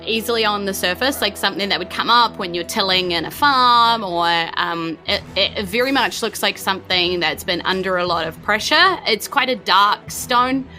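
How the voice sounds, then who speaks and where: A woman with a New Zealand accent runs a tabletop game, painting the scene as she goes and hunting for words with plenty of fillers. Her voice is bright and forward, at a middle pitch. Faint music sits behind her. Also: normal speed, hardly any pauses. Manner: descriptive, searching for words with many fillers. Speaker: game master.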